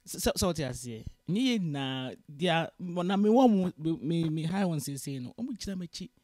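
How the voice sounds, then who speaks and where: A woman's voice: low-pitched, moderate pace, dry recording, clean studio sound. A Ghanaian woman, a guest in an interview, who tells her story in a casual mix of English and Twi and acts out the dialogue with energy. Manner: switches freely between English and Twi, animated in retelling dialogue. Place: Canada.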